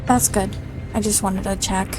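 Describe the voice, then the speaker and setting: A woman's voice: medium pitch, fairly even intonation, normal speed, over music. A woman casually chatting to her viewers while she plays a video game, in a giggly, self-deprecating way. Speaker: streamer playing a video game. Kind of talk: casual let's-play commentary.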